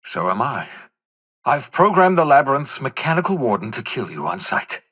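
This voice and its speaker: An older man acting as an embittered, desperate renegade scientist in a video game, with a raspy voice and a theatrical, sarcastic, erudite manner. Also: medium pitch, very animated, normal speed, fairly bright tone. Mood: regretful, resolute.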